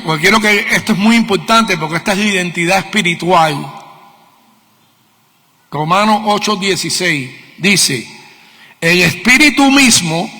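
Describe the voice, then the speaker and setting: A man's voice: sits high, sharp tone. A middle-aged man with a Cuban Spanish accent preaching a sermon, teaching emphatically with questions and repeated key words. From Cuba. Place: church meeting hall. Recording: long reverb of a hall.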